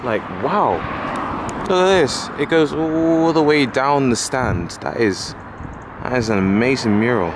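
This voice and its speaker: A man's voice: mid-range voice, a little slow. A young man in his twenties chatting casually and with enthusiasm as he films himself walking city streets, holding the camera at arm's length. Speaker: travel vlogger. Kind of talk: casual walking vlog narration. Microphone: handheld camera at arm's length. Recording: loud outdoor traffic noise. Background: loud street and traffic noise.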